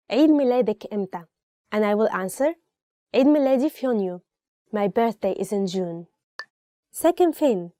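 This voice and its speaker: A higher-pitched woman with an Egyptian accent, native in Arabic, hosts a language lesson. Her tone is on the mellow side and the sound is dry and close. She explains conversationally and gives simple examples. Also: dry recording. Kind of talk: scripted language lesson, presented informally.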